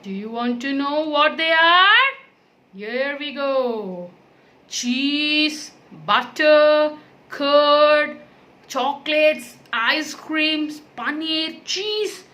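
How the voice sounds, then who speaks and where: A woman's voice: high-pitched, animated, very slow, bright, forceful tone, heavily acted. A woman voicing a cheerful, friendly cow puppet in a children's educational show, speaking eagerly in simple, repetitive phrases. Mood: cheerful, proud.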